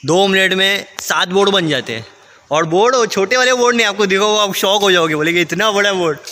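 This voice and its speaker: A man's voice: high voice, animated, a little fast, pushed and bright, a little hiss or hum. Chatty young man vlogging a factory tour. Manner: chatty, keeps saying "dekho" and "barabar". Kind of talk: casual vlog walkthrough. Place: large factory shed. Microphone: phone held at arm's length.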